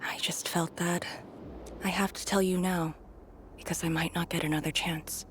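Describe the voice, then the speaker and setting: A woman playing a battle-hardened female soldier in a video game, with a grave, measured and earnest delivery. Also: lowish voice, flat delivery, slight background noise, natural voice. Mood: quietly resolved.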